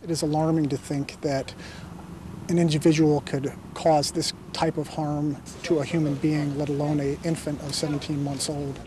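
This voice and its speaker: A man's voice: a little high, flat delivery, normal speed. A man giving a formal spoken statement, recorded as a news soundbite. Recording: noisy location news clip.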